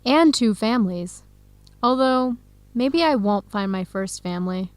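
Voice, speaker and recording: A hopeful young woman in an educational radio drama. Her voice sits a little high, and she delivers each line clearly and deliberately. The recording is close and dry. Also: animated, no echo, soft background music. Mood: discouraged. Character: young Ethiopian-American woman exploring Ethiopia, earnest and hopeful.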